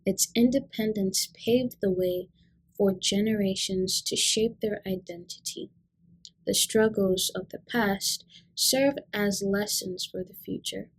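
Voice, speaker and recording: A woman who narrates in a measured, scripted way and asks interview questions. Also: medium pitch, rough recording.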